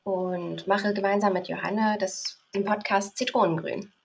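A woman's voice: mid-range voice, fairly even intonation, unhurried, vocal fry, a little hiss or hum. A twenty-seven-year-old woman from Berlin, a podcast guest, talking in a cheerful, somewhat hesitant way. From Berlin, Germany. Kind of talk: casual interview talk.

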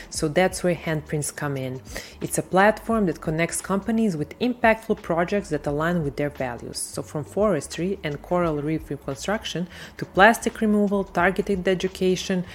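A woman hosting a sustainability podcast, reading a scripted introduction in a steady delivery and then asking interview questions. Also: on the low side, a little hiss or hum. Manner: steady, read-aloud delivery. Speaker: podcast host.

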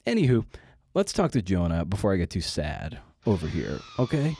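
A man's voice: sits low, wildly swooping pitch, normal speed, warm tone, breathy edge. A man hosting a podcast with a casual monologue, talking in a slangy, irreverent, self-deprecating way. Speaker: podcast host.

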